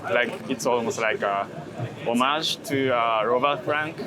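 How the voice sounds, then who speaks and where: A man's voice: mid-range voice, unhurried, crowd noise. A man from Japan with a Japanese accent, talking casually in an interview and often searching for English words. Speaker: interview guest, street photographer. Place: outdoors in a busy city park. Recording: outdoor city bustle with traffic.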